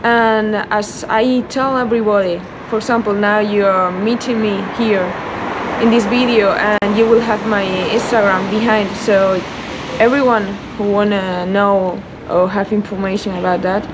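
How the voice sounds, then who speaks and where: A woman with a Spanish accent answering interview questions, haltingly searching for her words in English. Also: mid-range voice, fairly even intonation, background noise. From Spain. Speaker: air traffic controller being interviewed. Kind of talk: unscripted interview.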